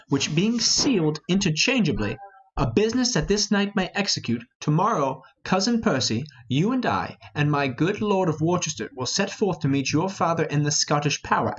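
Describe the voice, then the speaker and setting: A man playing a reasonable, peacemaking noble conspirator in a Shakespearean play reading, measured and formal. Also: on the high side, big pitch swings, dull audio. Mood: businesslike.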